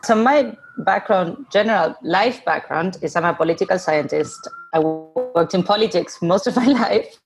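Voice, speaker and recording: A woman's voice: on the low side, expressive, normal speed, faint music behind, rough recording. A woman from Argentina speaking as a podcast guest, explaining things in a casual, conversational way. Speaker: podcast guest, open source funding co-founder.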